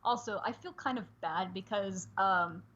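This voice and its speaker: A woman's voice: mid-range voice, unhurried, a bit bright. A woman chatting casually and earnestly as a guest on a livestream, over dull, lo-fi call audio. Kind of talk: casual chat.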